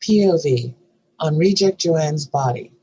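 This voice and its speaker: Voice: medium pitch, animated, measured pace, darkish tone, husky, dull audio. A narrator reading script stage directions in a scripted narration style. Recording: faint background noise.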